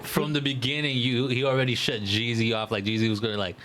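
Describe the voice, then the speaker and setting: A man's voice: mid-range voice, level tone, fast, fairly bright tone, faint music behind. Slangy man retelling things in casual chat. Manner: slangy, animatedly retelling with quoted asides. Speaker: podcast co-host.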